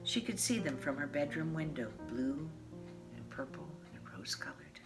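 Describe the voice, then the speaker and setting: A woman narrating a children's picture book in a measured, expressive storytelling manner. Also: low-pitched. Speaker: storybook reader. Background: instrumental music.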